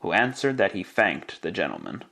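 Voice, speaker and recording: A man narrating a scripted story in classic radio style, theatrical and measured. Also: low-pitched, wildly swooping pitch, creaky, bright, forceful tone.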